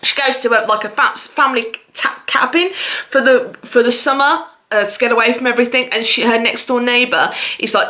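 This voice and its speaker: Bright-voiced, gushing woman vlogger. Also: mid-range voice. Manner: gushing, effusive, expressive. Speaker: book vlogger. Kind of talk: casual chatty video monologue about books.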